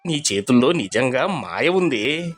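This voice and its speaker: A man voicing a humble poor villager, animated pitch, earnest, cartoon storybook. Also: measured pace. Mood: delighted. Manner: expressive, pleading.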